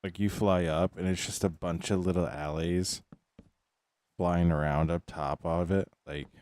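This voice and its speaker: Young male streamer, deep voice, loose filler-heavy musing. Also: fairly even intonation, measured pace, darkish tone. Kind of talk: casual gaming stream chat.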